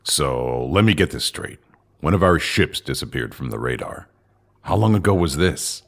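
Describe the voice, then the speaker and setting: An actor playing a commanding, guarded alien council official in a sci-fi audio drama, with a gravelly, husky voice and questioning manner. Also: male voice, very deep voice, animated, normal speed, full character voice. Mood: probing, businesslike. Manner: authoritative, questioning.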